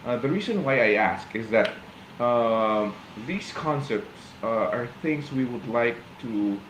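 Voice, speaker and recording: A man's voice: mid-range voice, lively intonation, fairly bright tone, a little hiss or hum. Filipino man hosting, lots of "uh"s. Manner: halting, many fillers and restarts. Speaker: host of a live online show. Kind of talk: casual live-stream interview. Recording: roomy echo.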